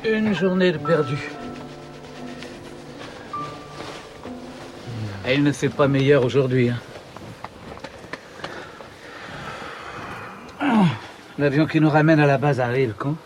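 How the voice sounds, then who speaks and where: An actor playing a nostalgic, idealistic Siberian-born polar researcher in a radio drama, telling things in an earnest, reflective way. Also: male voice, fairly high pitch, fairly quick, music in the background, lightly acted. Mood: weary. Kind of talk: naturalistic French radio drama acting.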